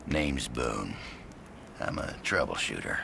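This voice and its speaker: Super deep slow cowboy troubleshooter, gravelly southern us accent, video game monologue, noisy background. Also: male voice, expressive, full character voice. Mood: wry, welcoming. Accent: American Southern. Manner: folksy drawl, cowboy idioms. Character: laconic cowboy troubleshooter, last of his kind, grimly welcoming.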